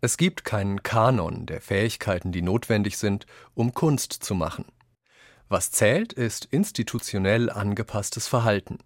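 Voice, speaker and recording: Male quotation reader for radio essay, fairly low voice, dry studio sound. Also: measured pace, no echo. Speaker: reader of quoted passages. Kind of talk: scripted reading in a radio essay.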